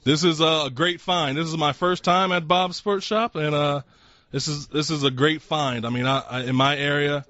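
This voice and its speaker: A man's voice: on the high side, steady tone, normal speed, bright, forceful tone, no room sound, dull audio. A man in his twenties giving casual, polite answers as an interview guest. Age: 20s. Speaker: interview guest, customer at a shooting range. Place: inside a sport shop.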